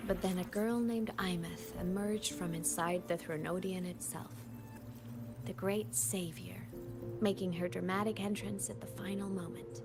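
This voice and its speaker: Young game heroine, played by a woman: dramatic storyteller with wounded bravado, medium pitch, music underneath. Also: fairly even intonation, a little slow, natural voice. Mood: brooding, dramatic. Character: young heroine, vengeful toward her foe, wounded bravado.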